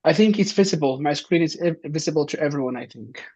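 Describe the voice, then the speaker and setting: A man from Somalia gives an informational talk to an online audience, pausing often with fillers and restarting his sentences. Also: a little high, animated, darkish tone. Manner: hesitant, many fillers and restarts. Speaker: presenter. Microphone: video call. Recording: dull lo-fi online session.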